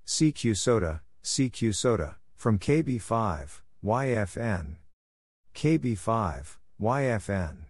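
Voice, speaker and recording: A man with a lowish voice narrates Morse code practice in short, deliberate phrases. His delivery is slow, with lively swings in pitch. He reads call signs and stock radio exchanges piece by piece. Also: no echo, studio quality. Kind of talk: scripted instructional readout of radio exchanges.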